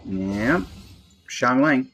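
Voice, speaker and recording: A man's voice: medium pitch, animated, very slow, fairly bright tone. A man giving casual live-stream game commentary as the host, with a dry, resigned way of talking. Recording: music playing behind the voice. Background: background music.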